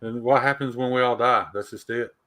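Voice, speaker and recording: Bright-voiced Southern man chatting. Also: mid-range voice, steady tone, brisk, rough recording. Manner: laid-back, pushes back in debate. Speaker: podcast co-host. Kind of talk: casual chat.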